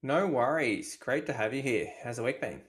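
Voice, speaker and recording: A man's voice: medium pitch, lively intonation, moderate pace. A man hosting a business podcast, asking questions and answering with short, friendly backchannels. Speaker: podcast host. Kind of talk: podcast interview.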